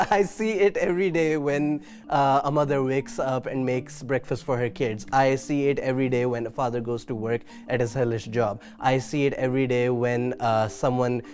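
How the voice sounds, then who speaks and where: A man's voice: medium pitch, steady tone, normal speed, hardly any pauses, a little mellow, soft background music. A man speaking earnestly in a group discussion, with repeated, emphatic phrasing and frequent fillers. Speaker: student debater.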